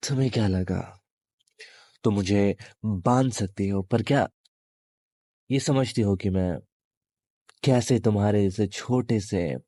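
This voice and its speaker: A menacing male demon acted by a man speaks straight to the listener in a low voice. The delivery is very slow and halting, taunting and possessive, with long pauses. It is a dry, close-sounding audio roleplay. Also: mellow, no echo. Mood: taunting defiance. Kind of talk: intimate audio roleplay monologue addressed to listener.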